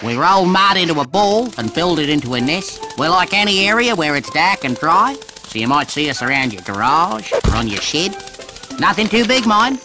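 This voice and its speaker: A man's voice: on the high side, sing-song, normal speed, music in the background. A man with an Australian accent voicing an easygoing, self-admiring mud wasp in a children's cartoon. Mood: breezy, proud. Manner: breezy, matey, chatty. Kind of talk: children's cartoon character narration.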